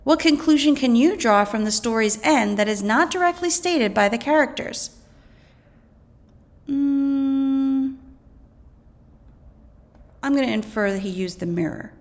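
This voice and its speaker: Higher-pitched woman reading a lesson aloud. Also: lively intonation, measured pace, pauses often. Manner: instructional, explains and poses questions. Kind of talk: scripted educational read-aloud narration.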